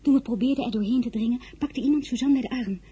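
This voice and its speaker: A woman's voice: fairly high pitch, quick pace, mellow, a little hiss or hum, natural voice. An actress playing a headstrong, easily indignant teenage girl who confides her story like a diary in a radio drama. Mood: narrating. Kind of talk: radio drama narration and dialogue.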